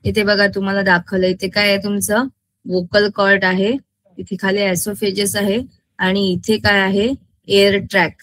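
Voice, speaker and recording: A woman's voice: mid-range voice, little pitch movement, unhurried, fairly bright tone, no echo. A woman school science teacher with a Maharashtrian accent, lecturing interactively with English terms mixed in and often asking check questions as she explains.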